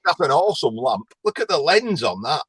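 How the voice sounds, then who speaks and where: Lively chatty Englishman. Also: fairly high pitch, fairly quick, breathy edge, no echo. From England. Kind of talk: casual chat.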